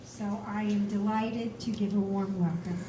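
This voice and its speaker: A woman hosting an event, introducing the next speaker in a measured, grateful way. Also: mid-range voice, fairly even intonation. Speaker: event host. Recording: echoey hall from far off, noisy.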